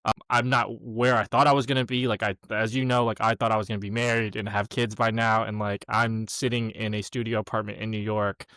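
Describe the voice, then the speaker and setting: A man's voice: on the low side, level tone, a little fast, hardly any pauses, fairly bright tone, no echo. A man in his mid twenties hosting a podcast, talking casually and candidly in informal, loosely phrased questions and asides. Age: mid 20s. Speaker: podcast host.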